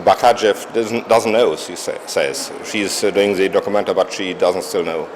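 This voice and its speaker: A man interviewing an artist, asking halting questions and murmuring agreement in between. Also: deep voice, slight background noise.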